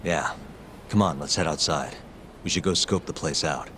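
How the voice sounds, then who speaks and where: An actor playing a cool, determined private detective in an English video game dub, with a terse, dry delivery. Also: male voice, extremely low pitch, normal speed, fairly bright tone, slight background noise. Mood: calm, scheming.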